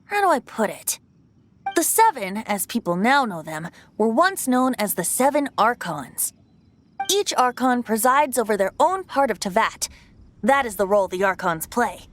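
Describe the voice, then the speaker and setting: A woman's voice: a little high, big pitch swings, unhurried. A woman voicing a carefree, playful young male wind god in a video game, light and self-deprecating. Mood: explaining. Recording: game audio with music behind.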